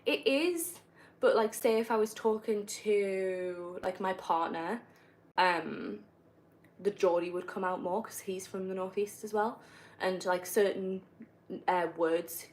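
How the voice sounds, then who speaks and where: A young woman from northeast England with a soft northeastern accent, chatting casually on a livestream while gaming, rambling with many fillers and self-corrections. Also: on the low side, poor audio. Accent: northeast English, softened. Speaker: livestreamer answering viewer questions. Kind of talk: casual live-stream chat while gaming.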